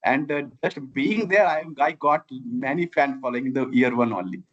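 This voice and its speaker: A man's voice: medium pitch, expressive, normal speed, muffled recording. A man in his forties from Bihar in India, with a Bihari Indian accent and Maithili as his first language, giving long, earnest, winding interview answers. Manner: earnest, long winding sentences with repeated "I have". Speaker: guest, civil engineer and founder.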